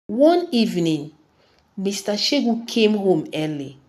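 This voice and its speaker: A woman narrating a scripted folktale in a deliberate, dramatic storytelling manner. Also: low voice, a little mellow.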